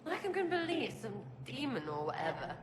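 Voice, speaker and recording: A woman's voice: fairly high pitch, very animated, moderate pace, reverberant, background noise. An actress playing a scrappy, bitter, rattled young female archer in a video game, with a blunt streetwise manner. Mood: frightened, defiant. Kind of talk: video game cutscene acting.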